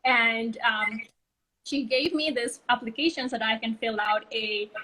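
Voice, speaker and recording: Woman in her 30s, slightly high husky voice, rambling friendly guest on a video call. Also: steady tone, unhurried, bright, forceful tone, rough recording. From Bali, Indonesia. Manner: chatty storytelling, frequent "like" and "um". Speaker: guest, brand consultancy co-founder. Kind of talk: casual interview chat.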